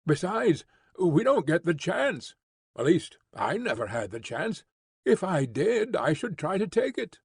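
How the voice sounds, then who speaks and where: A man's voice: high-pitched, sing-song, a little slow, vocal fry, breathy edge, no echo, studio quality, heavily acted. A man reading an audiobook, voicing an impulsive young suitor who talks in a pleading, eager way. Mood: earnest.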